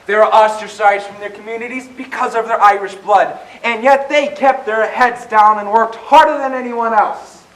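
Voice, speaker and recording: Man acting high-voiced indignant young debater, echoey stage. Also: normal speed, hardly any pauses, a bit bright. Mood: pompous. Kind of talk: amateur stage play acting. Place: stage in a hall. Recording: echoey hall, distant mic, faint noise.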